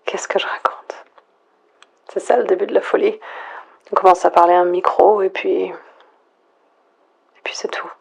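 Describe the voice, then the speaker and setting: A woman playing a lonely, frightened last survivor, murmuring a halting, introspective audio log in a breathy, husky near-whisper. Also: medium pitch, little pitch movement, heavily acted. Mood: wistful, resigned. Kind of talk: hushed monologue, analog horror audio log.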